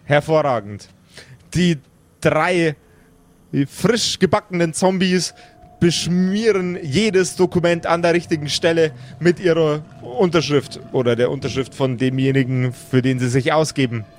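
A man's voice: fairly high pitch, unhurried. A man hosting and narrating an improvised tabletop role-playing audio show as game master. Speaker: game master and podcast host.

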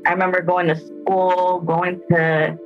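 Twenties woman, interviewee sharing her own story, emotional and halting, flat pitch, dull recording with soft music bed. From El Salvador, raised in the United States. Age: 20s. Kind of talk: personal testimony.

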